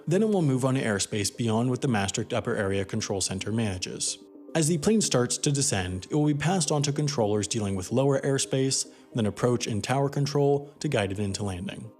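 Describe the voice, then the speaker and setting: A man reading scripted explainer documentary narration in a measured, explanatory way. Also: mid-range voice, a little mellow.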